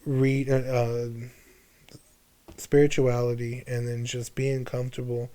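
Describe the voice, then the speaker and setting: A man's voice: medium pitch, level tone, a little slow, mellow, clear, resonant tone. A man in his twenties talking candidly and casually as a podcast guest, using slang and swearing freely. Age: 20s.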